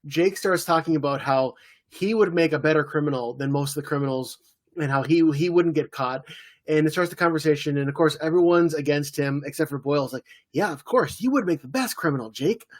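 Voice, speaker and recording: A man co-hosts a casual podcast and recaps a TV episode at an easy pace. His voice sits a little high, and he drops in loose, playful impressions. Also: a little fast. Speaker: podcast co-host. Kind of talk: casual chat about a TV episode.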